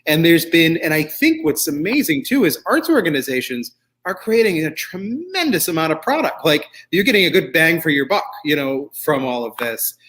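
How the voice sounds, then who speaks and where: A man with a slightly high voice hosts a casual conversation. He sounds appreciative and encouraging, chatting through his points informally as he draws out his guests. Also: sing-song, moderate pace, a bit bright. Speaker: talk show host.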